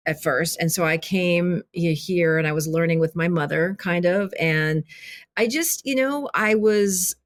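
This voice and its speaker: A woman whose first language is Arabic, a filmmaker and author speaking as a podcast guest, talking reflectively with many restarts. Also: on the low side. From grew up in Kentucky, USA. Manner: reflective, halting, many restarts and "you know". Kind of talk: casual interview conversation.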